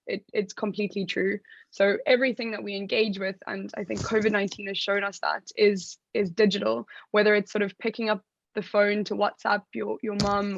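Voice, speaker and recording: A South African woman with a hoarse, raspy voice, speaking on a panel over a video call with frequent restarts. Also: medium pitch, no echo. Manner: frequent restarts and fillers, conversational. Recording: dull lo-fi video call audio.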